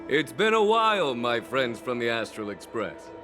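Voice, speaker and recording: An actor voicing a calm, composed male general who mentors a young apprentice. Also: mid-range voice, over music. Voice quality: calm, measured. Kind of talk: video game voice acting.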